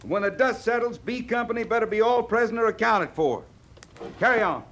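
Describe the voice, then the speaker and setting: High-pitched, barking cavalry sergeant. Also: male voice, expressive, a little fast, dull audio, noisy background. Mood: stern, wry. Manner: commanding, gruffly wry. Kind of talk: old-time radio western acting. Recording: old radio drama with crowd bustle.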